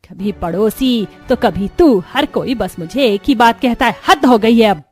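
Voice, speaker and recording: A woman's voice: a little high, lively intonation, a little slow, slightly rough, faint music behind. A woman voicing a lazy, resentful young daughter-in-law who complains, in a cartoon moral story.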